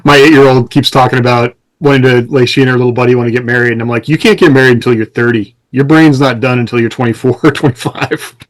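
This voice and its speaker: A man's voice: medium pitch, fairly even intonation, fast, few pauses, poor audio. A man co-hosting a casual panel podcast, giving practical advice with a self-deprecating, lightly amused manner. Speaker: podcast co-host and author.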